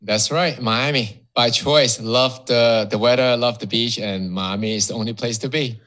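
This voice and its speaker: A man from Hong Kong, a guest entrepreneur, speaking in an upbeat, halting way with repeated words. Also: mid-range voice, fairly bright tone. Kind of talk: casual interview conversation. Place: Miami.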